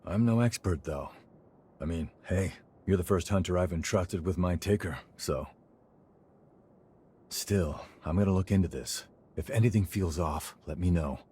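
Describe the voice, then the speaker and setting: A man's voice: low voice, a little slow, warm tone, slightly rough, exaggerated voice. An actor playing an easygoing, wry male hunter in a video game cutscene, speaking in a casual, colloquial way. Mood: puzzled, musing.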